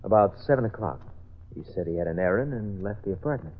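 An actor playing a subdued, reserved man questioned in a vintage radio crime drama, quiet and terse. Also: fairly low pitch, animated, fast. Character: subdued, reserved male twin of murdered man. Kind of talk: 1940s-50s radio drama acting. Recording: tinny old radio recording.

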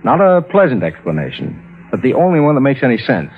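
An actor playing a rational, skeptical lawyer who narrates and argues in a measured, earnest way, in the style of mid-century radio drama. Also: male voice, medium pitch, huge pitch swings, breathy edge. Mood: skeptical, pressing. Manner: measured, earnest storytelling, pressing in argument. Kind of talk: 1940s-50s radio drama acting and narration. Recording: tinny old radio recording, music behind.

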